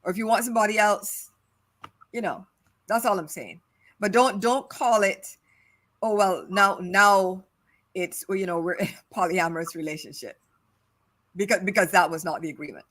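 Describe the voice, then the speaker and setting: A woman's voice: mid-range voice, moderate pace, long pauses, a bit bright. A middle-aged woman from Barbados with a Barbadian accent, co-hosting a casual discussion and repeating her points for stress. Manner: emphatic, repeats phrases for stress. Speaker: podcast co-host.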